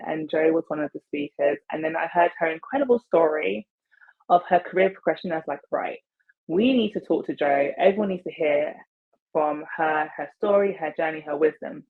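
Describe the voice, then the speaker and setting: A woman's voice: deep voice, narrow, tinny audio. A woman hosting a career podcast, chatting enthusiastically with her guest over a video call. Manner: enthusiastic, effusive. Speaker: podcast host. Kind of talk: casual interview chat. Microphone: earbuds on a video call.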